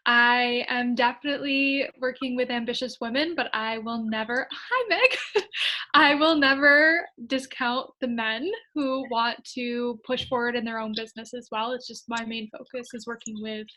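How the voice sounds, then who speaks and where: Woman from upstate New York, bubbly, bright ringing voice, slightly high, interview guest. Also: normal speed. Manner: bubbly and eager, laughs often. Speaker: guest, digital marketer and coach. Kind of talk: casual interview.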